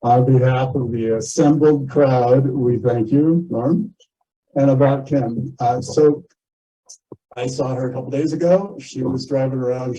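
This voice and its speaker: Very dark-voiced man chairing a meeting. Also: mid-range voice, poor audio. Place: community meeting, captured on a video call.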